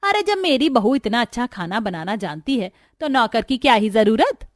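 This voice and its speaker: A woman's voice: a little high, big pitch swings, moderate pace, dry recording, studio quality. A woman voicing a proud, quarrelsome middle-aged mother-in-law in a family cartoon, scolding in an indignant, emphatic way. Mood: proud, firm. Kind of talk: Hindi cartoon story dialogue.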